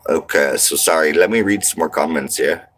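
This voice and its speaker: A man's voice: very deep voice, normal speed, fairly bright tone, slight background noise. A man from Montana hosting a casual livestream from his RV, reading comments in a laid-back, slangy, rambling way. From Montana, United States. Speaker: livestream host.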